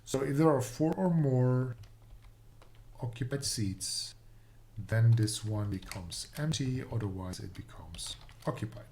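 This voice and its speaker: Slow, halting man thinking aloud. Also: medium pitch, mellow, slight background noise. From Netherlands or Dutch-speaking Low Countries. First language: Dutch. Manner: halting, musing, trailing off mid-thought. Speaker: programmer live-streaming a coding session. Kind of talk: thinking aloud while coding. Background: computer keyboard typing and clicks.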